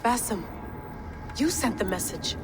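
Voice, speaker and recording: A woman's voice: on the high side, expressive, a little slow, over music. An actress playing a determined, weary woman researcher in a dramatic video game cutscene, speaking in a terse, earnest way. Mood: wary. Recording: reverberant space, loud ambient noise behind.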